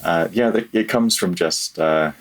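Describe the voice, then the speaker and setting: A man in his thirties talking casually and candidly as an interview guest, often correcting himself mid-sentence. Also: low voice. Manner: halting, self-correcting, candid, chuckles at himself. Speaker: podcast guest, freelance audio developer.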